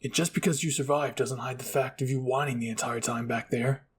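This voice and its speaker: A man's voice: medium pitch, steady tone, a little fast, slight background noise, lightly acted. A man voicing a hot-headed, eager young male soldier in an amateur anime roleplay. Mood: annoyed.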